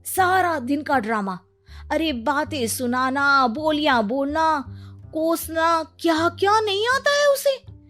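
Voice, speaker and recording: A woman's voice: high voice, animated, takes it slowly, fairly bright tone, soft background music, heavily acted. A woman voicing a fed-up young wife in a cartoon family story, complaining melodramatically. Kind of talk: Hindi cartoon story acting.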